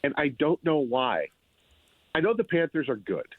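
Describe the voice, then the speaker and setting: A man's voice: a little high, big pitch swings, normal speed, dry recording. A man speaking as a sports writer guest, giving conversational sports commentary in an emphatic way, repeating phrases for effect. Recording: thin phone-like line.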